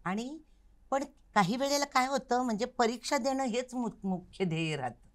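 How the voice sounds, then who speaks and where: A woman's voice: fairly high pitch, animated, normal speed. An older woman, talking reflectively as an interview guest in an earnest, instructive manner, stressing her key points. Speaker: interview guest, Kathak dance teacher. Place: recording studio.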